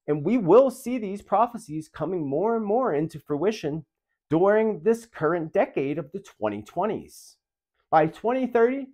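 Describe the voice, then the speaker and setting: A man with a fairly high voice presents video commentary directly to his audience. His intonation swings widely up and down, and his delivery is earnest and emphatic. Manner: earnest, emphatic, rhetorical questions. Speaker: host of a prophecy video channel.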